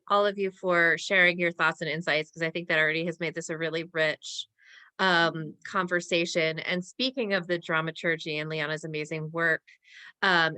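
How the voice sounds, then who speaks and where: A woman's voice: fairly low pitch, pushed and bright. A woman hosting and moderating an audience discussion, addressing panelists and viewers. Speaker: host, moderator.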